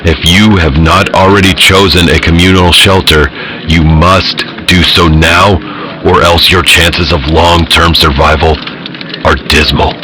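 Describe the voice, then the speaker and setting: An actor playing a blunt, solemn emergency radio broadcaster in an audio drama, measured and emphatic, over a noisy broadcast. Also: male voice, sits low, steady tone. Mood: stern, solemn.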